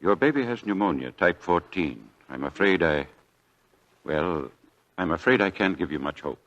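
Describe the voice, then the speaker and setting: Very deep-voiced, grave doctor. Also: male voice, expressive, a bit bright. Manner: measured, somber, hesitant. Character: grave, regretful hospital doctor. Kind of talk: 1940s radio drama acting. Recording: dull vintage 1940s radio recording.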